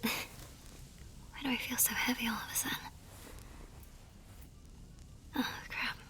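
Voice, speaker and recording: Woman acting a soft, high-voiced wartime nurse sweetheart. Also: little pitch movement, normal speed. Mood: longing, tearful. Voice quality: soft, breathy, often dropping to a whisper. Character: tender, wistful young woman, wartime army nurse. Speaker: voice actor in a romance audio roleplay. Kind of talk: ASMR romance roleplay acting. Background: music.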